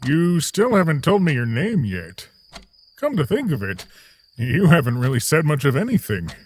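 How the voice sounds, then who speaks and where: Fatherly, soft-hearted king played by a man: animated intonation, courteous small talk, tentative kindness, slightly noisy recording. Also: fairly high pitch, normal speed. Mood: gentle, chatty. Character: gentle fatherly king, hospitable, awkward, kind-hearted. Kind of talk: dramatic voice acting for a comic dub.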